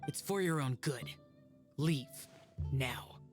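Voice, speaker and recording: A man's voice: mid-range voice, takes it slowly, natural voice. A man voicing an aloof, stern, youthful immortal guardian in a video game, solemn and curt. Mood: cold, warning. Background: game music.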